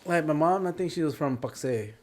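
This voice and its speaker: A man co-hosting a casual podcast, talking informally in a halting way. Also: a little high, expressive. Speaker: podcast co-host.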